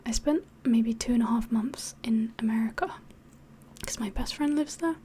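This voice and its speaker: A woman speaks in a hushed, mostly whispered ASMR style with a slightly mixed English accent. Her voice is fairly high yet mellow, and she takes her time, rambling hesitantly. A faint hiss sits behind her. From England. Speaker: ASMR livestream host. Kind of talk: soft-spoken ASMR chat, mostly whispered.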